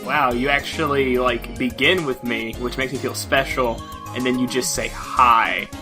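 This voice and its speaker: A man co-hosting a podcast in casual chat, with a halting, wry way of talking. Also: medium pitch, steady tone, over music. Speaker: podcast co-host.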